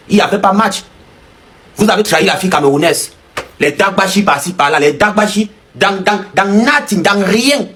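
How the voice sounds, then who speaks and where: A man's voice: on the high side, fairly bright tone. A man giving casual, emphatic social media commentary and repeating short phrases.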